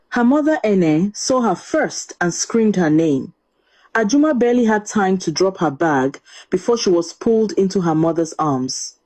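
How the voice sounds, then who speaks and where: Mellow, expressive woman telling a folktale. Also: mid-range voice. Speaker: storyteller, narrator. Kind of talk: scripted folktale narration, dramatic.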